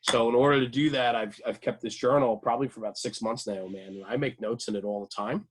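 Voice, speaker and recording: A man's voice: mid-range voice, fairly even intonation, fairly quick, husky. A man chatting casually as a radio show host, informal and enthusiastic, with plenty of slang. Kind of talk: casual chat.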